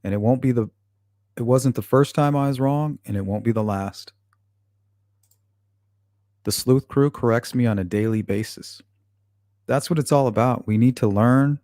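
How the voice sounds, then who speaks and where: A man's voice: medium pitch, moderate pace, pauses often, darkish tone, no room sound, studio quality. A man hosting a livestream, reading viewer comments aloud and answering them bluntly, with frequent swearing. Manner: blunt and profane, talks back to chat. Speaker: channel host reading live chat. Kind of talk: casual livestream chat.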